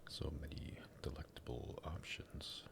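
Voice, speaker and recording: A man playing a sadistic, possessive vampire master in an ASMR roleplay, teasing and politely menacing, with a breathy, gravelly voice. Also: very deep voice, fairly even intonation, unhurried, voice pushed down, full character voice. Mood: silky, amused.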